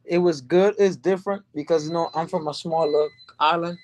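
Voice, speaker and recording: A professional boxer from Barbados answering interview questions in a soft-spoken, halting way, with fillers and repeats. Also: male voice, a little high, fairly even intonation, no room sound, muffled recording.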